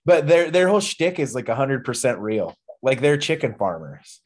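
A man's voice: medium pitch, expressive, moderate pace, a little mellow. A man in his thirties hosting a casual wrestling podcast, ranting in an opinionated, profane way. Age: 30s. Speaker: podcast host.

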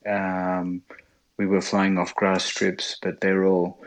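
Low-pitched Australian man, halting delivery. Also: completely flat delivery. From Sydney, Australia. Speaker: interview guest, bush pilot. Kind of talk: conversational interview storytelling.

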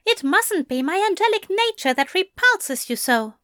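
A woman's voice: extremely high pitch, very animated, unhurried, a bit bright, dry recording, studio quality, voice pushed up, heavily acted. A woman voicing a prim, wounded male angel with a huffy, frosty manner in an audiobook story. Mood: huffy, sniffing. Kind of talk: fan fiction audiobook character voice.

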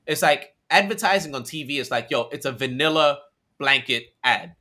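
A man's voice: medium pitch, animated, normal speed, pushed and bright. A young man from Australia chatting energetically as a podcast guest, full of slang and tangents. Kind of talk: casual conversation.

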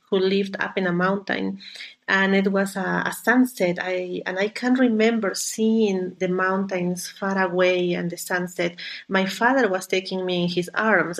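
A woman's voice: medium pitch, gravelly. A woman from Peru with a Peruvian Spanish accent, answering interview questions and telling personal stories as a podcast guest. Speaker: podcast guest, spiritual guide. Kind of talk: conversational interview storytelling.

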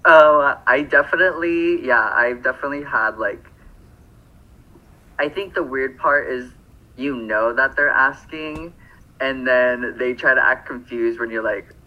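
A man's voice: medium pitch, unhurried, dull audio. A man from Phoenix co-hosting a podcast, chatting casually and rambling through lots of fillers and self-corrections. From Phoenix, Arizona. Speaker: podcast co-host.

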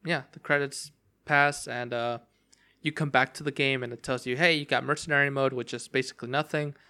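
Young male podcaster, bright, rambling casual delivery, voice worn and cracking. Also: mid-range voice, fairly even intonation, fairly quick. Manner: rambling, many fillers and restarts. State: voice cracking from long talking. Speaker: podcast host. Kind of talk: casual solo game talk.

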